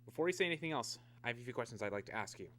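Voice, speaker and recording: An actor playing a methodical, guarded security major in a radio drama, brisk, official and evasive. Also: male voice, mid-range voice, expressive, sharp tone. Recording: clear background noise with hum.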